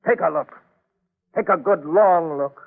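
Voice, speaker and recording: A man's voice: fairly high pitch, measured pace, gravelly. A man playing an edgy, hot-headed explorer husband in an old-time radio drama, dramatic and clipped. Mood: intense, agitated. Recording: narrow, lo-fi old radio recording.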